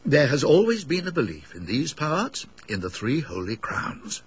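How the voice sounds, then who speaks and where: A man's voice: fairly high pitch, huge pitch swings, moderate pace, husky, heavily acted. A man voicing a kindly, talkative elderly English rector in a ghost story, musing and hesitant, with donnish emphasis. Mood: confiding. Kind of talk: dramatised ghost story reading.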